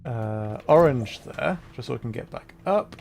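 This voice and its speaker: A man's voice: mid-range voice, lively intonation, a little slow, creaky, darkish tone, slight background noise. A man livestreaming a game with casual commentary and chat, half-muttering to himself as he plays. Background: game music.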